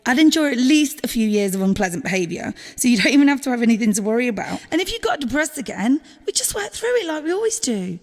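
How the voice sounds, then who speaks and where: Audio drama girlfriend, played by an actress: female, high and very animated, loving yet exasperated, emotional, sweary. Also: fast, few pauses, big-room sound. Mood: loving, reassuring. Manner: emotional, pleading, sweary, informal. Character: loving, exasperated girlfriend pleading in a breakup argument. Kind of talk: naturalistic audio drama dialogue.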